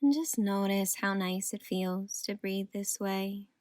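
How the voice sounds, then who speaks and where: Slow, soothing woman guiding meditation. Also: medium pitch, no room sound. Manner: soothing, gentle, unhurried. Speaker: meditation guide. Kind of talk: guided meditation narration.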